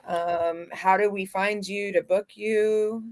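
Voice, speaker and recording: A woman's voice: medium pitch, steady tone, slow, darkish tone, dry recording. A woman hosting a radio interview, chatting in a casual, upbeat way with plenty of fillers. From not from Texas. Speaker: radio show host.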